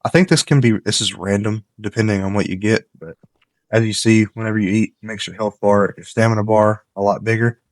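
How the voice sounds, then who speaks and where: A man giving relaxed, rambling commentary on a game he plays, with a slightly nasal voice because he is coming down with a cold. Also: lowish voice, a little fast, dry recording. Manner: relaxed, rambling, gives tips while playing. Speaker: gameplay commentator. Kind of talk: casual let's-play commentary.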